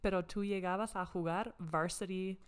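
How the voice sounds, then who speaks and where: A woman interviewing a guest in a relaxed chat, attentive and asking questions, with frequent short backchannels. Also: mid-range voice, moderate pace, smooth. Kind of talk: casual conversational interview.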